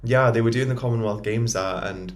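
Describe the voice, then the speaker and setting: A man's voice: mid-range voice, brisk. A man in his early twenties with an English accent, talking informally as a podcast co-host and often dropping in "like". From Newcastle and London, England. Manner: chatty, informal, frequent "like". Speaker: podcast co-host, dental student. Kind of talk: casual chat.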